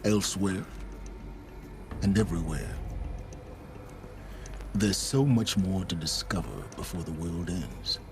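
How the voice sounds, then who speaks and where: An actor playing an enigmatic, calculating scholar who speaks in a measured, wry manner with deliberate pauses. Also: male voice, low-pitched, noisy background. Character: enigmatic scholarly male outcast, calm and calculating.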